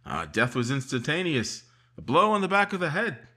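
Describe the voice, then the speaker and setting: A man's voice: a little high, huge pitch swings, normal speed, bright, forceful tone, exaggerated voice. An actor playing a patient small-town sheriff in a 1950s-style radio mystery, drawling and hesitating with "uh" and "mm" as he questions a witness. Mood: suspicious. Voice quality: husky, drawling with "uh" and "mm".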